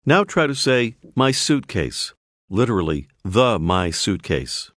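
A man narrating a scripted language course, clearly prompting the listener to repeat phrases. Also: on the low side, sing-song, slow, fairly bright tone, dry recording. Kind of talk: scripted audio lesson instructions. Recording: dry studio voice-over.

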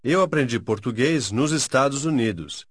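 Male drill speaker, careful slow enunciation, varied pitch, dry studio. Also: expressive, a bit bright, husky, no echo. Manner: slow, careful, syllable-by-syllable repetition. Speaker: native-speaker model in a language course. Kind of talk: scripted phrase drills.

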